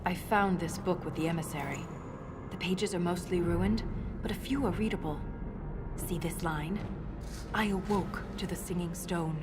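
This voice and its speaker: Actress as female dwarf scholar, lowish voice, dry, precise, principled, video game cutscene, clear music underneath. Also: moderate pace, natural voice. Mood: scholarly, intent.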